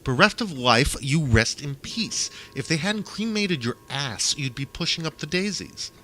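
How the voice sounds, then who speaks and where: Man as a young dead woman, blunt, sarcastic, exasperated, bright voice, audiobook dialogue, slight background noise. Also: a little high, expressive, measured pace, voice pushed up.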